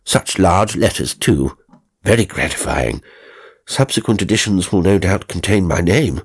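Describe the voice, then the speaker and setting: Man playing prim, pleased male ghost, lively swoops. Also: low-pitched, unhurried, husky, exaggerated voice. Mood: exultant, gratified. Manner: gratified, prim, exclamatory. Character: pleased, self-important newly dead male spirit. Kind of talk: ghost story character reading.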